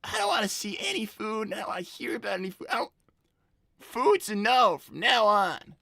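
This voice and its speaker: Exasperated young fox boy, cartoon played by an adult, bright and creaky, argumentative, stammering, close dry sound. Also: medium pitch, very animated, a little fast, no echo, heavily acted. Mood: weary, apologetic. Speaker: host of a live character stream. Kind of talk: improvised in-character chat, reading donations.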